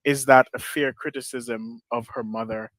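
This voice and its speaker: A man's voice: mid-range voice, steady tone, normal speed, heavy background noise. A man moderating a book discussion, putting questions to the panel.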